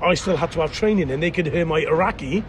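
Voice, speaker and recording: A Welsh actor in casual podcast chat, telling rambling, animated stories. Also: male voice, fairly high pitch, fast talker, a little hiss or hum. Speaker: actor guest.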